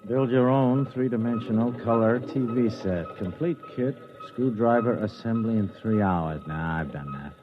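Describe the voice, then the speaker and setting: An actor playing an eager, distractible suburban husband who tinkers, muttering and stammering in a 1950s radio drama. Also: male voice, lowish voice, moderate pace, hardly any pauses, over music, natural voice. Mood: musing. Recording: tinny, band-limited old radio recording.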